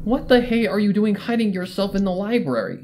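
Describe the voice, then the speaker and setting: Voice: medium pitch, very animated, a little fast, a little mellow, voice pushed up. A reader voicing a brash, blunt female cartoon pegasus pony with a pushy, demanding manner. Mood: accusing. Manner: confrontational, demanding.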